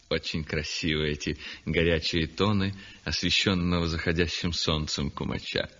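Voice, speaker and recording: An exuberant, condescending painter narrating in an old radio drama. His low voice swings widely in pitch as he savours each phrase. It sounds like a dull vintage radio recording. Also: vocal fry, a bit bright, full character voice. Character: self-satisfied male landscape painter, exuberant and condescending. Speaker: actor narrating in first person. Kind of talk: 1960s radio drama acting.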